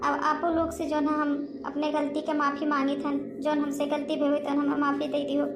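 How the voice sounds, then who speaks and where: Woman voicing a high-pitched pleading ghost witch, Awadhi accent. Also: completely flat delivery, normal speed, natural voice. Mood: relieved, grateful. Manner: pleading, repetitive. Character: pleading restless female witch ghost, wronged and weary. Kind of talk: amateur Hindi cartoon dialogue.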